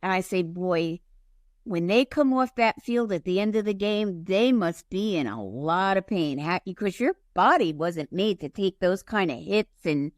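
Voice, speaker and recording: A woman's voice: on the low side, expressive, measured pace, dry recording, studio quality. A woman co-hosting a podcast, chatting casually and encouraging the guest with short agreements. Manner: encouraging, frequent brief agreements. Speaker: podcast co-host. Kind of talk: casual interview chat.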